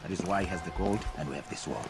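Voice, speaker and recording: Imperious rebel leader in a game, very low voice, quick, curt orders, music behind. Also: male voice. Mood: proud, commanding. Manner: commanding, curt, formal. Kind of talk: video game cutscene acting.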